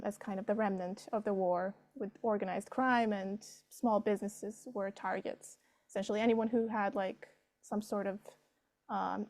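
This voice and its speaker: A woman's voice: mid-range voice, darkish tone. A woman, answering interview questions conversationally and thinking aloud as she goes. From Serbia. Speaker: filmmaker being interviewed.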